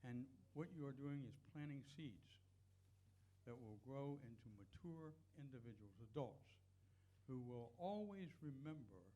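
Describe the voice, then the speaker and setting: A man's voice: mid-range voice, dark tone, low-quality recording. A man leading a church service, giving blessings and announcements in an earnest, unscripted, encouraging way.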